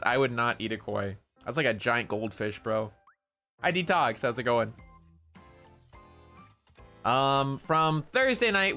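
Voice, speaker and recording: Young male streamer, nasal, loud and slangy, bright edge. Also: medium pitch. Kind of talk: casual stream chat.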